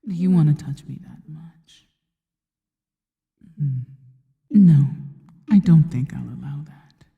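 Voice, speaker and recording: A woman voicing a seductive, possessive yet devoted female spider monster in an ASMR roleplay, mostly in a slow, breathy, teasing whisper. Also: sits low, phone-quality sound, exaggerated voice. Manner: slow, teasing, intimate delivery. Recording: long reverberant tail on the voice.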